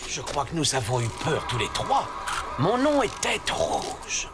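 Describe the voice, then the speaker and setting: A man voicing a friendly, theatrical snake in a cartoon, with drawn-out delivery. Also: fairly high pitch, huge pitch swings, background noise. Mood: theatrical, gracious. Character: friendly theatrical male snake, helpful and sly-sounding. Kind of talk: animated series acting. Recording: loud music underneath.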